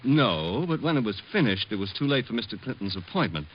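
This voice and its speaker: Male insolent chauffeur villain, low, polite on the surface, expressive inflection, vintage radio crime show. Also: fairly quick, slight background noise. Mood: smoothly evasive. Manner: mock-polite, composed. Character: cool, insolent chauffeur henchman, smoothly lying. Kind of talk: old-time radio drama acting. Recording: dull, muffled old radio recording.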